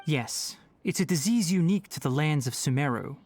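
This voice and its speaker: Calm scholarly young forest ranger, lively intonation. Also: male voice, on the high side, a little hiss or hum. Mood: grave, explanatory. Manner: articulate, measured, explanatory. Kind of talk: scripted video game dialogue. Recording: music behind the voice.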